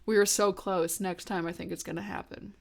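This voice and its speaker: A woman chatting casually on a livestream, giggly and quick to break into laughter. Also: mid-range voice, steady tone, vocal fry. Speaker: musician and livestreamer. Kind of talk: deadpan dramatic sung and spoken song reading. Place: indoor livestream music setup. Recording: roomy reverberant sound with loud guitar music.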